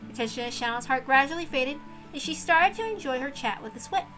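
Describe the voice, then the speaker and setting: A woman reading a story aloud as narrator, in an expressive scripted storytelling style with music behind her. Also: high voice, brisk. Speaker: reader and narrator. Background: background music.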